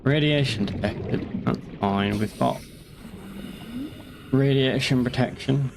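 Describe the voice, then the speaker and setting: A man's voice: mid-range voice, slow pace, background noise. A young man chatting casually while streaming a game, rambling and joking with the odd curse. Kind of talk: casual gaming commentary.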